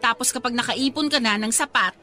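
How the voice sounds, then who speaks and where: A woman's voice: fairly high pitch, fairly quick, pushed and bright. A woman acting a practical, scolding young best friend in a Tagalog radio drama, with a Filipino accent. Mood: preachy. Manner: scolding, preachy, exclamatory. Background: music.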